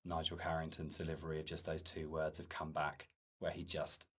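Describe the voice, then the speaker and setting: A man's voice: rumbling low, completely flat delivery, brisk, husky. A man speaking conversationally as a game developer on a director's commentary.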